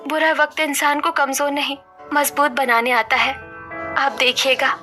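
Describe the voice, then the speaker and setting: A woman playing a gentle, hopeful and resolute wife in a story. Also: high voice, little pitch movement, a little slow, faint music behind. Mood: reassuring, hopeful.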